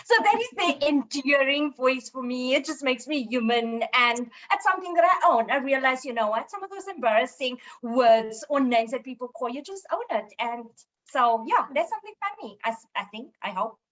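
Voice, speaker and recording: A woman in her twenties from South Africa with a squeaky voice, speaking earnestly as a panelist in a panel discussion. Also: lively intonation, normal speed, hardly any pauses, a bit bright, dull audio. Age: 20s. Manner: earnest and encouraging, with self-deprecating humor.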